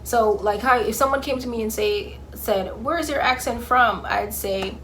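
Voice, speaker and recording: A young woman with a mixed Jamaican and Bahamian accent vlogs casually to camera. Her voice sits slightly high, and she keeps a playful, sarcastic edge in her asides. A faint background noise runs under the recording. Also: moderate pace, fairly bright tone, poor audio. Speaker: vlogger.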